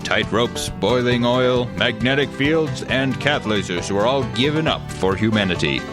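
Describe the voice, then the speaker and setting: Mock-dramatic radio announcer, man acting: grandiose, animated delivery over clear music. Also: medium pitch, unhurried, close to an everyday voice. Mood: solemn, grand. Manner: melodramatic, grandiose. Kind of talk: mock-serious scripted narration.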